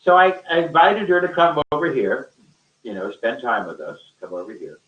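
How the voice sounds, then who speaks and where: A man of average pitch tells a story conversationally in an echoey room. He halts and repeats phrases for emphasis as he rambles along. The sound is muffled and lo-fi. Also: normal speed. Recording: roomy echo, distant mic, muffled sound.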